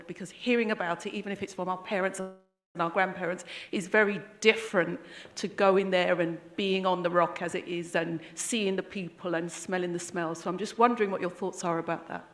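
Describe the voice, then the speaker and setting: Reflective woman asking from the audience. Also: mid-range voice. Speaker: audience member asking a question. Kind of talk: conference floor question. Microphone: handheld microphone.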